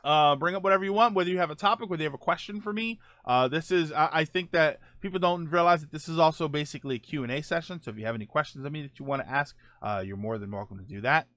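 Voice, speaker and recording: A man's voice: on the high side, racing, hardly any pauses, a bit bright, no echo. A man chatting casually as host of a live stream, rambling and joking as he answers viewers.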